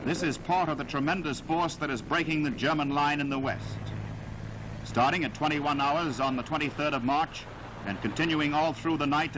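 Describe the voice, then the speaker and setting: A man narrating a 1940s newsreel in a dramatic, declamatory style with emphatic, measured phrasing, on a vintage soundtrack. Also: a little high, background noise.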